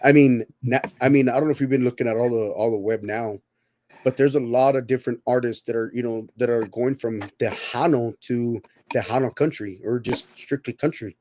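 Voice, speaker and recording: A man's voice: medium pitch, fairly even intonation, fairly quick, darkish tone. A man in his thirties hosting a casual podcast chat, rambling and often repeating his words. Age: 30s. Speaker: podcast host.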